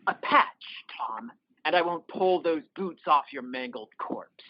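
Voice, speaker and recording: A man's voice: a little high, expressive, measured pace, lo-fi sound. A man playing a menacing, defiant young biker mutated with metal in an audio drama, commanding and taunting.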